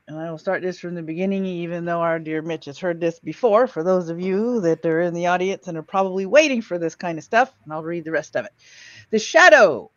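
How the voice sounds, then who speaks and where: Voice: mid-range voice, a bit bright, no echo. Casual host with a dull, lo-fi mic. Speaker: host of an old-time radio show stream. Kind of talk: casual live hosting and reading aloud.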